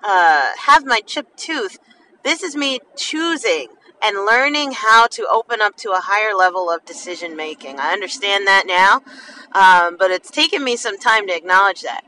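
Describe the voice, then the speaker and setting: Bright-voiced woman with a slight lisp. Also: mid-range voice, animated, moderate pace. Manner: slightly slurred, lisping enunciation from a numb mouth. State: half the mouth numb after dental work. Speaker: podcast host. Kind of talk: casual personal monologue for a podcast. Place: inside a car while driving. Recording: distant mic, faint vehicle noise. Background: faint car driving noise.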